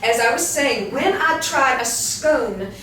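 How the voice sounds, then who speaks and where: A woman's voice: sits high, animated, slow. Woman playing brash rich wife, echoey room. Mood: exasperated. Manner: blunt, combative. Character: brash, foul-mouthed wealthy younger wife. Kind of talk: staged script reading. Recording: echoey room, distant mic, faint noise.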